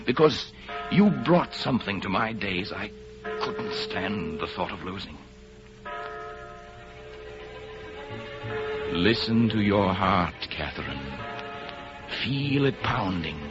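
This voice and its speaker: An actor playing a suave, fatalistic spy in a 1930s radio drama, with mocking, romantic, measured theatrical delivery. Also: male voice, fairly low pitch, very animated, loud music behind the voice. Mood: pleading, romantic. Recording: noisy, muffled vintage radio recording.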